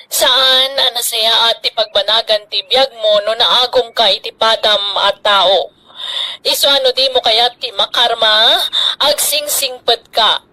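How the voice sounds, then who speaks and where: An actress with an Ilocano accent playing a concerned relative who admonishes earnestly in a radio drama. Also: female voice, fairly high pitch, unhurried, pushed and bright, low-quality recording. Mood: admonishing.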